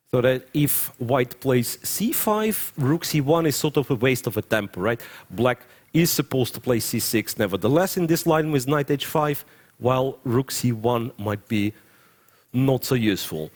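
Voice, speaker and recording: A man's voice: mid-range voice, darkish tone. A young adult man with a Ukrainian accent, giving live commentary on a chess game, thinking aloud and often stopping to correct himself. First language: Russian.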